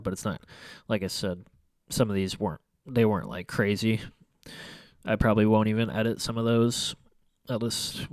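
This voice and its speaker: Congested young man, low smooth voice. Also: a little mellow, no echo. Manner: rambling, hesitant, many fillers and restarts. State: congested, stuffy nose. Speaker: photography vlogger. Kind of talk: casual photo review commentary. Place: small room at home by a window.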